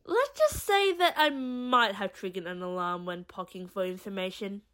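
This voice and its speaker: A woman's voice: medium pitch, lively intonation, normal speed, a bit bright, clear, resonant tone, lightly acted. A woman playing a brash, sardonic female troublemaker in an audio drama, with a flippant way of talking. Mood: sheepish.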